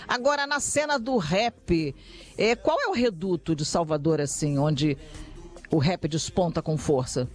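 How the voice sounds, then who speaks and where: High-voiced male interviewer. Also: measured pace, soft background music. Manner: engaged, affirming follow-ups. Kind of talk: interview questions.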